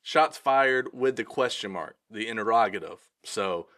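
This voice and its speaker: A man's voice: fairly low pitch, a little slow, fairly bright tone. A man co-hosting a casual podcast, affirming his partner and asking leading questions. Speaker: co-host.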